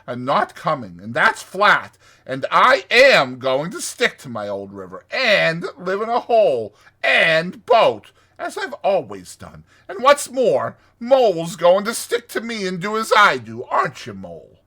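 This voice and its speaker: A man voicing a stiff, stubborn water rat in a storybook, slow, emphatic and disapproving. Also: high-pitched, wildly swooping pitch, pushed and bright, voice pushed up, full character voice. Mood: dogged. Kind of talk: dramatized storybook reading.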